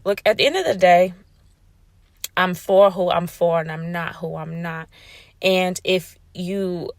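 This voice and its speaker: A woman's voice: on the low side, unhurried, no room sound, studio quality. A woman talking casually and emphatically to her audience, repeating herself to make her point, with features. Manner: assertive, emphatic, repeats "right?" and "you know". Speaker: vlogger. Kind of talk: casual monologue to camera.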